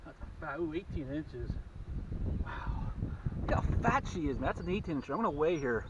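A man in his forties hosting a fishing vlog, excitedly talking to himself as he catches and measures fish on a lake. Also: on the high side. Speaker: fishing channel host. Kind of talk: casual fishing vlog narration. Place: small boat on an open lake outdoors. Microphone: action camera mounted on the boat. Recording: distant camera mic, dull sound, wind noise.

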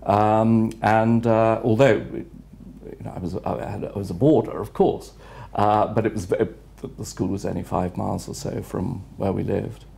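Older english gent, gravelly low voice, slow thoughtful answers w lots of pauses, lapel mic. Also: male voice, fairly even intonation, mellow. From England. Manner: measured, reflective, unhurried with frequent pauses. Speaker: retired headmaster, guest. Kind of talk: interview answers. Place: office room with city view window.

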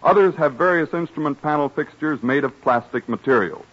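A man's voice: fairly high pitch, fairly quick, lo-fi sound. A man reading a scripted sponsor message as a 1930s radio announcer, formal and polished, on a vintage recording.

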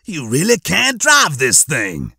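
A man voicing a vain, excitable male creature evolved from a cat, showy and exclamatory, in an audiobook. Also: a little high, slow pace, gravelly, breathy edge, dry recording, studio quality, heavily acted. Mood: impressed.

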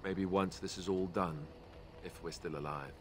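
An actor playing an earnest, awkward young warrior companion in a fantasy video game, hesitant and sincere. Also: male voice, sits low, steady tone, normal speed, background noise, natural voice. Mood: grieving, regretful.

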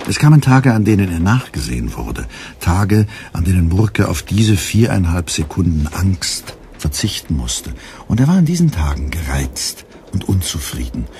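An actor narrating a literary satire in a measured way for a radio play. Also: male voice, deep voice, a little mellow, slight background noise, exaggerated voice.

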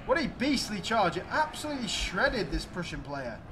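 A man giving excited, exclamatory play-by-play commentary on a video game battle, addressing his viewers. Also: high-pitched, moderate pace, noisy background.

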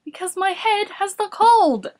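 A woman's voice: very high voice, expressive, a little slow, a little mellow, voice pushed up. A woman voicing a naive, literal-minded male hoofed animal in a children's story, puzzled and blurting. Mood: sickly.

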